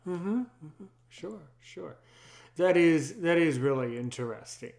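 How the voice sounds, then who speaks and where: A man hosting a casual interview, speaking haltingly and often repeating his words. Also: fairly high pitch, lively intonation, gravelly, a little mellow. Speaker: podcast host.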